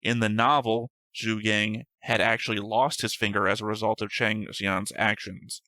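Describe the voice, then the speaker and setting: A man's voice: on the low side, level tone, moderate pace, bright, forceful tone, no echo. A man hosting a reaction show, reading notes aloud in casual chat and often correcting himself.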